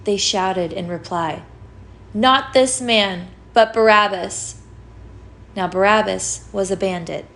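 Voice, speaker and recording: A woman's voice: medium pitch, takes it slowly, gravelly, a bit bright. A woman giving a dramatic scripture reading, recorded with faint background noise. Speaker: scripture reader.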